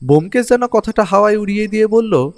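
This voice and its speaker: An actor playing a detective's curious, watchful companion, narrating the case in the first person. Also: male voice, high-pitched, animated, fast talker, no echo. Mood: curious, reflective.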